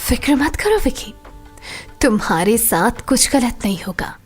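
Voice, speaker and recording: A woman's voice: fairly high pitch, very animated, exaggerated voice. A woman playing a seductive, patient wife who teaches in a coaxing way, in a Hindi audio drama. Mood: seductive, instructive. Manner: slow, breathy, coaxing delivery. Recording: music behind the voice.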